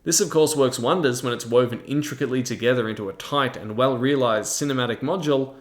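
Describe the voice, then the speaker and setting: A man's voice: mid-range voice, quick pace. A man presenting a scripted review as the host of a video. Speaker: tabletop game reviewer and host. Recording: roomy, echoing sound.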